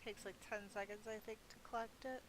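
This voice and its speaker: A woman's voice: mid-range voice, steady tone, fairly quick. Chatty woman gamer with background hiss. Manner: chatty, self-amused, thinking aloud. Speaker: gaming video host. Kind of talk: casual rambling gameplay commentary. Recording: clearly audible background hiss.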